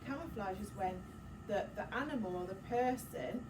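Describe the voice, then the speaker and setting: A woman's voice: medium pitch, lively intonation, normal speed. Woman teacher doing story time w little kids, asking questions, echoey noisy classroom, mic far off. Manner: questioning, explaining simply to children. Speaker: primary school teacher. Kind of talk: interactive story-time lesson for young children.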